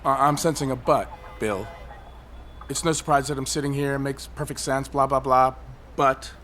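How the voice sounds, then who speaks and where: A man's voice: mid-range voice, steady tone, moderate pace, a bit bright, lightly acted. An actor playing a cynical, suicidal man on a ledge in an audio drama, sarcastic, articulate and needling. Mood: sardonic. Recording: faint background noise.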